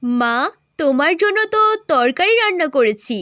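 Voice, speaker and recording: A woman playing a young village woman in a cartoon moral story, chiding her elders in an expressive way. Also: sits high, normal speed, no echo, clean studio sound.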